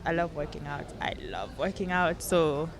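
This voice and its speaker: A young woman from Cameroon, a podcast guest in casual chat, bubbly and quick to laugh. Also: low-pitched, crowd noise.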